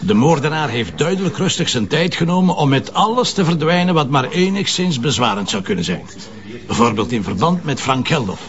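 Animated, stubborn Flemish police detective. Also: male voice, on the high side, brisk, muffled recording, a crowd in the background. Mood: businesslike. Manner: colloquial, argumentative. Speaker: police commissioner. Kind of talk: naturalistic TV drama dialogue.

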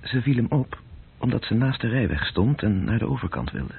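A man playing a sober, detached storyteller in a radio play, narrating in terse, measured phrases with a husky voice. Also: medium pitch, very animated, a little hiss or hum. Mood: sober, observant. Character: sober male storyteller, detached observer of city evening. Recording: muffled phone-like old radio recording.

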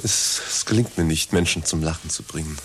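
A humourless, self-important executive, speaking in a very deep voice. He halts and repeats himself awkwardly in short bursts, as in a radio satire, on a hissy recording. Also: a little fast. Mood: awkward, hesitant. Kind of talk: satirical radio play acting.

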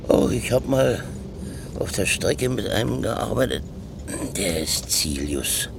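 An actor playing a gruff, stubborn, cheeky building-site worker in a naturalistic German radio drama, with a gravelly voice and a mocking, colloquial way of talking. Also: male voice, on the low side, very animated, takes it slowly, background noise, exaggerated voice. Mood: gruff, chatty.

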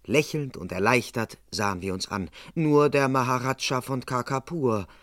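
An actor playing the composed first-person narrator of a vintage radio crime play, reading scripted narration. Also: male voice, mid-range voice, moderate pace, fairly bright tone, no room sound, studio quality, lightly acted.

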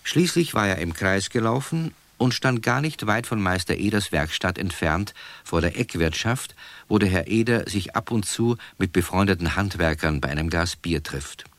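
Very deep, lively storytelling man. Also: moderate pace, no room sound. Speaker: narrator. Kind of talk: scripted children's story narration.

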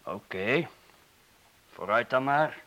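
A man's voice: medium pitch, expressive, slow, fairly bright tone. A male actor playing a casual, dismissive burglar in a radio play. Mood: resigned.

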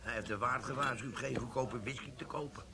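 An actor playing a sly, flirtatious army sergeant in a radio play. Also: male voice, medium pitch, a little fast, gravelly, heavy background noise, lightly acted. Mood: bantering. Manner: cheeky, flirtatious, conspiratorial. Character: sly scheming middle-aged army sergeant. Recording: pub chatter behind.

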